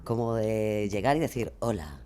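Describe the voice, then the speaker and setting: A woman with a Castilian Spanish accent chatting casually as a podcaster guest in a radio interview, expressive, rambling and self-deprecating. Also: extremely low pitch, moderate pace, clear, resonant tone. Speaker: guest, true-crime podcaster.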